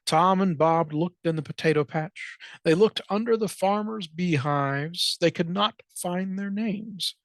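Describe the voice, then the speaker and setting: Slow, dry-sounding storytime reader. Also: mid-range voice, no echo. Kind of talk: expressive children's picture book read-aloud.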